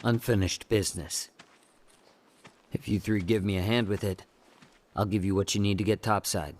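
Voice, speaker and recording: An actor playing a cool, guarded underworld operator in a video game, terse and unruffled. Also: male voice, on the low side, fairly even intonation, moderate pace, darkish tone, close to an everyday voice.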